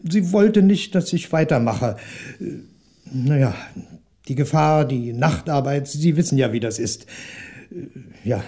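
A former private detective turned shopkeeper in a 1960s German radio crime play. His voice is husky and dark, mid-pitched, and he speaks politely but hesitantly, stalling and evading. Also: lively intonation, unhurried. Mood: evasive. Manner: courteous, hesitant, evasive, with stalling interjections.

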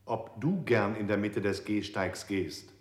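A man's voice: on the low side, measured pace, roomy sound, lightly acted. An actor playing a pushy questioner in a radio play, repeating his questions insistently.

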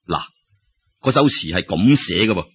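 Animated Cantonese storyteller, husky male voice. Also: sits low, moderate pace. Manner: colloquial, expressive, uses exclamations and rhetorical questions. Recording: dull lo-fi audio.

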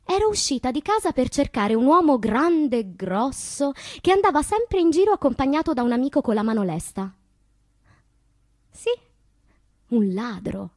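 An actress playing a tormented, wistful woman poet in a theatrical monologue, dramatic and deliberate, with a breathy, husky voice. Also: high voice, sing-song, no room sound, clean studio sound. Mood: agitated. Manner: dramatic, slow deliberate delivery with hushed passages. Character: tormented woman poet recalling destructive loves, wistful and intense.

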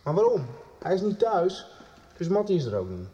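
An actor in a Dutch radio soap playing an earnest, somewhat halting teenage schoolboy. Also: male voice, sits high, huge pitch swings, mellow, muffled recording, slight background noise. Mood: urgent, guarded. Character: earnest teenage schoolboy searching for his sister.

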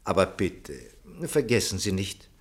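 A fawning, scheming minister. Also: male voice, deep voice, lively intonation, measured pace. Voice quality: obsequious, sly, chuckling. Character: scheming corrupt male education minister, fawning. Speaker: minister Branesco. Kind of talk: 1950s-60s radio play acting.

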